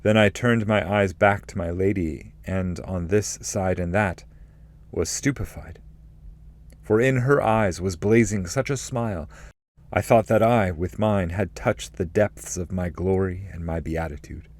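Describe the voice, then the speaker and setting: A man giving a scripted literary narration and reading of poetry, in a measured, formal way. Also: deep voice, a little mellow. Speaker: narrator, reader.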